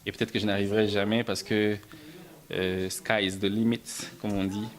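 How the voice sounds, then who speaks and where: A man from Belgium answering interview questions about his music and films, soft-spoken, often stumbling and repeating words. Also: fairly low pitch, little pitch movement, normal speed. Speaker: musician and film writer, interview guest.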